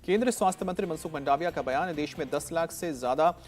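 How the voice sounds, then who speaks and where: A man with an Indian accent working as a news anchor, reading scripted, rapid-fire headlines. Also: a little high.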